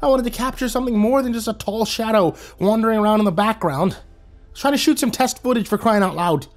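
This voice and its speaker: A man's voice: high-pitched, animated, fairly quick, darkish tone, faint music behind, pitched above the performer's own voice. A man voicing a frightened, defensive cameraman in an audio horror story, squealing and stammering through whiny protests.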